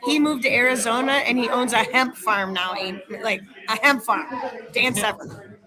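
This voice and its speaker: A woman's voice: fairly high pitch, steady tone, normal speed, crowd noise, rough recording. A woman chatting casually and enthusiastically as a wrestling trainer and podcast guest. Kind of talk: casual chat.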